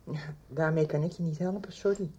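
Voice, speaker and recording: A woman's voice: sits low, steady tone, a little fast, mellow, husky. An actress playing a worried woman confiding in a friend in a radio drama. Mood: anxious. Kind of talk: 1990s radio drama acting.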